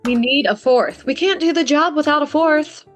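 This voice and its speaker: Casting: actress for insistent office woman, high-pitched, pragmatic, drama read over music. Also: moderate pace, lightly acted. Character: insistent, practical female office coworker. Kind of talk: script table read.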